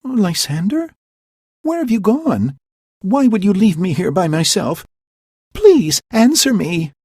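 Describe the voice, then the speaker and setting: Man doing a shrill young woman, frightened and fierce, very high, breathy, storybook reading. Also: sing-song, takes it slowly, darkish tone, no room sound, studio quality, heavily acted. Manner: high, shrill cries of alarm and accusation.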